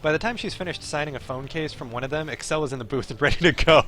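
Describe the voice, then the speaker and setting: A man with a slightly high voice reads a game story aloud as a streamer. He narrates dramatically, like an esports commentator calling a match, over faint background music. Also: fast talker, fairly bright tone. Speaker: streamer reading a visual novel aloud.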